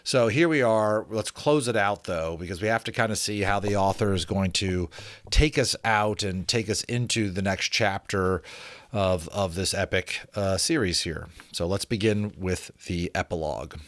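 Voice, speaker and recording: Guy chatting about a book he reads aloud, lowish voice, really into it, dry recording. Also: normal speed, fairly bright tone, dry recording. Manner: enthusiastic, informal, rambling asides. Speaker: narrator, host of dramatic book readings. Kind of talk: chatty commentary and dramatic audiobook reading.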